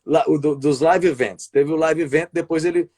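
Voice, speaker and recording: Chatty Brazilian man with a higher voice. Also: fairly even intonation, normal speed, a little mellow. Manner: rambling, restarts often, tags sentences with "né" and "sabe". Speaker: wrestling commentator and play-by-play announcer. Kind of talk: casual interview chat over a live stream. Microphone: video call.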